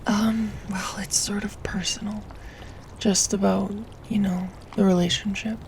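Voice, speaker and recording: Casting: actress as anxious young creature girl for audio drama; creaky, breathy, halting delivery over noisy water ambience. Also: mid-range voice, level tone, close to an everyday voice. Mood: hushed, downcast. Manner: hesitant, halting, often dropping to a hushed whisper. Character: young woman turned leech girl, anxious and reluctant. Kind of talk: audio roleplay acting.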